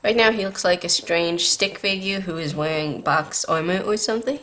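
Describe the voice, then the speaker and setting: A woman's voice: fairly low pitch, normal speed, sharp tone. A woman hosting a drawing tutorial, explaining patiently step by step, her voice tired and scratchy from a late night. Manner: patient, beginner-friendly explaining.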